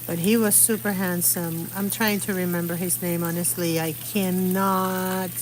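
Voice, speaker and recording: A woman's voice: lowish voice, level tone, unhurried, slight background noise, poor audio. A middle-aged woman from Puerto Rico chatting casually outdoors during a live stream, rambling as she searches for words. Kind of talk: casual chat while painting. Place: outdoors under an umbrella.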